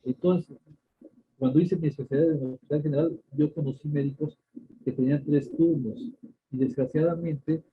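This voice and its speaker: An older man with a Mexican accent, a doctor answering interview questions in a measured way, pausing often and restarting his sentences. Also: on the high side, steady tone, slight background noise. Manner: measured, many hesitations and restarts. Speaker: ophthalmologist guest. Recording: tinny phone-like line.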